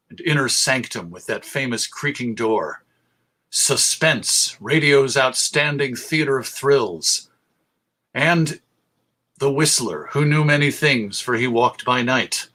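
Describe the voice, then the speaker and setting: A man's voice: mid-range voice, animated, a little slow, fairly bright tone. A man presenting an informal history talk as host, enthusiastic and emphatic as he lists examples. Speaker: presenter, radio show host.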